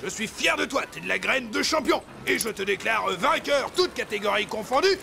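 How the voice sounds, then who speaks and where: A man voicing a bombastic, proud race announcer in a cartoon, proclaiming loudly and pompously. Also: very high-pitched, lively intonation, normal speed, vocal fry, soft background music, heavily acted. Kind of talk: animated cartoon dialogue.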